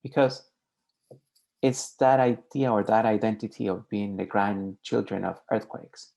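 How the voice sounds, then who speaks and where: A man's voice: fairly low pitch, measured pace, vocal fry, dark tone. A man closing his presentation in a reflective, heartfelt way.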